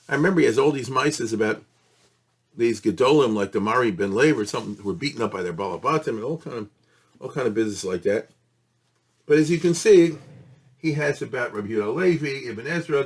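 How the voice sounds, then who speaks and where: A man lectures as a rabbi and teacher in a conversational, unpolished way. His voice sits at a middling pitch, and he sprinkles Hebrew and Yiddish terms through his explanations while checking in with his listeners. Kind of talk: informal lecture on religious history.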